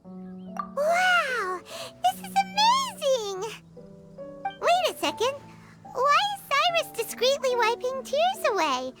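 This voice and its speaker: A tiny, squeaky, childlike fairy girl, naive and excitable. The game voice is extremely high and swings animatedly, with loud music underneath. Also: takes it slowly, full character voice. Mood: amazed. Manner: squeaky, refers to self in third person, exclamatory. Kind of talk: cartoonish video game voice acting.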